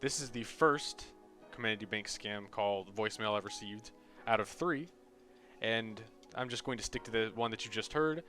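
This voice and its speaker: A man's voice: lowish voice, moderate pace, pushed and bright. A young American man giving a casual, sarcastic, profane comedic rant as a video creator. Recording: voice over background music.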